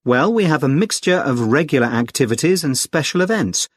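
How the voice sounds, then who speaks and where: A man with a British accent playing a friendly, helpful club secretary in a clearly enunciated scripted listening-test conversation, polite and explanatory. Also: fairly high pitch, lively intonation, fairly quick, dry recording, clean studio sound. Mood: helpful, informative. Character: friendly, helpful male secretary of a conservation group. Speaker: actor in a listening test dialogue.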